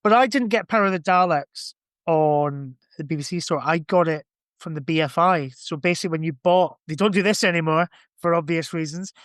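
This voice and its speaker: A man's voice: on the high side, fairly quick, a bit bright, no room sound, clean studio sound. A man chatting casually as a podcast guest, informal and a bit sweary. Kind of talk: casual chat.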